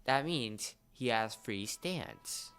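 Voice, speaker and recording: Halting, clear-voiced man in fan chat. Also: medium pitch, expressive, a bit bright, soft background music. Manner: halting, searching for words.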